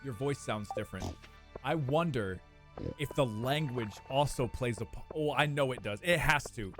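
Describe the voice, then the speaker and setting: A young man, chatting casually with his live-stream viewers, animated and prone to squeaky bursts of laughter. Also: moderate pace, soft background music.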